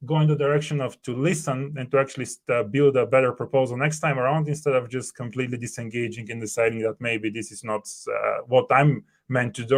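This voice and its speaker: A man in his thirties whose first language is Bulgarian, an earnest startup co-founder thinking aloud with many fillers and restarts on a video call from home. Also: mid-range voice. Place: home room.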